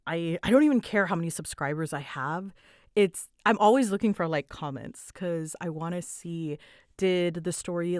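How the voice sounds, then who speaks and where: Young female creator, casual Q&A chat, a touch low-pitched, informal and giggly, very dry sound. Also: no room sound. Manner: self-deprecating, giggly, informal. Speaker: voice actor and channel creator. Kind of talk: casual solo Q&A chat to listeners.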